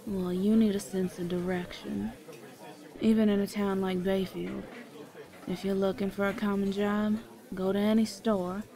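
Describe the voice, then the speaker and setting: A woman's voice: mid-range voice, little pitch movement, unhurried, dark tone, lightly acted. A woman playing a brash, bossy but motherly small-town eatery woman, blunt and teasing, in an audio drama. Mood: frank, reassuring. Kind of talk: audio theater acting.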